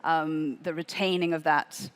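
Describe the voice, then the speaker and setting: Woman moderator, lowish voice. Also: fairly even intonation, a little slow. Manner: gracious, stumbling restarts. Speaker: panel moderator. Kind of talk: moderating a panel. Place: conference hall.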